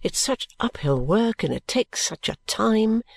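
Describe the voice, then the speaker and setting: A woman voicing a careless, easygoing and affectionate young gentleman in an audiobook, with a breezy, musing manner. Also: low voice, moderate pace, dry recording, voice pushed down. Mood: earnest, vexed. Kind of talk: audiobook character dialogue.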